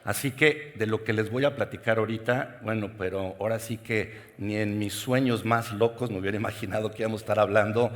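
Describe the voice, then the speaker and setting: A middle-aged Mexican man delivers a keynote in a large conference hall, his lowish voice carrying a long reverb. He speaks in a personal, engaging way with a Mexican Spanish accent and puts questions to the audience. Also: fairly even intonation, brisk, hardly any pauses.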